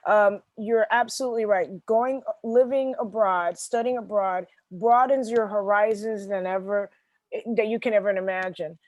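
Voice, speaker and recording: A woman's voice: medium pitch, steady tone, moderate pace, darkish tone, dry recording, low-quality recording. A woman hosting an interview podcast, talking in an agreeable, conversational way and often repeating phrases. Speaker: podcast host.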